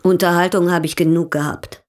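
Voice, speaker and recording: A worldly baroness played by an actress in a German radio drama, speaking in a fairly low female voice. She is poised and ironic, with a coquettish, teasing edge to her manner. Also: little pitch movement, fairly quick, lightly acted. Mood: restless, candid. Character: worldly, teasing baroness, newly settled from Europe.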